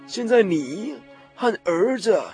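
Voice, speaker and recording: Male biblical prophet, high animated voice, solemn, steadfast, radio drama. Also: slow, creaky, soft background music, exaggerated voice. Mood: solemn, kindly. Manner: declamatory, solemn, assured. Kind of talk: Mandarin radio drama acting.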